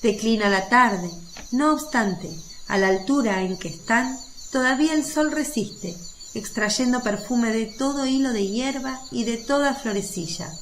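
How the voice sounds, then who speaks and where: A woman's voice: a little high, unhurried. A woman narrating a religious radio drama in a descriptive, literary reading style. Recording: faint background noise of birds and crickets.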